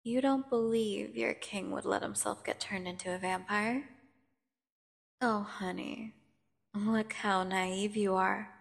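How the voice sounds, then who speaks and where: A woman playing a menacing, seductive vampire prisoner in an ASMR audio drama, taunting and condescending with theatrical pauses. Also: mid-range voice, fairly even intonation, gravelly. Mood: mocking, condescending. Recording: long cavernous reverb.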